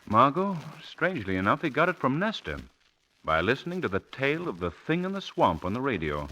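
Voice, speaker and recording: A self-assured gentleman sleuth in an old radio mystery. He explains in formal phrases with a crisp, bright tone and lively intonation. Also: mid-range voice, brisk, exaggerated voice, rough recording. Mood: composed. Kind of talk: 1940s radio acting.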